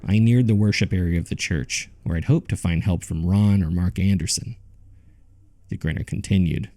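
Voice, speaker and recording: A man playing a haunted survivor, narrating a horror audio drama in the first person with somber, measured suspense. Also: low-pitched, mellow. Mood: grave, dejected.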